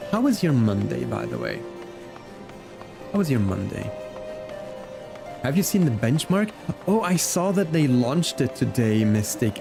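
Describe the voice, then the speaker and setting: A man's voice: mid-range voice, gravelly. A man from Belgium who speaks English as a second language, chatting casually with viewers as a live game streamer. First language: not English, learned it from video games. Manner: chatty, greets viewers by name.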